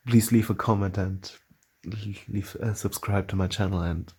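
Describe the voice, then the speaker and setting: A man with a German accent talks casually to camera on his own channel. His voice is fairly low and very husky, with a gravelly fry running through it. He speaks haltingly and often stops to restart a phrase as he reaches for the right words. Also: fairly even intonation, warm tone. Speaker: channel host.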